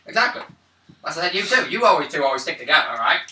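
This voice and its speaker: A man's voice: fairly high pitch, expressive, rapid-fire, sharp tone. A man playing a tabletop role-playing game, bantering casually in a blunt, argumentative way. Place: indoor room around a gaming table. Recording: distant mic, echoey room, noisy background.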